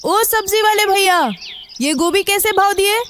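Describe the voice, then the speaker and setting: A man doing a shrill haggling village woman. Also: unhurried, bright, forceful tone, a little hiss or hum, full character voice. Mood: complaining. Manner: complaining, bargaining. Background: background music.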